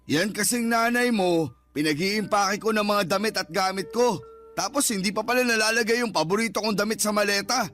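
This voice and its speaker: A domineering, lazy middle-aged father in a radio drama. His voice sits very high for a man, and he argues in a scornful, scolding way. Music plays faintly underneath. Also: brisk, a bit bright. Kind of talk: Tagalog radio drama acting.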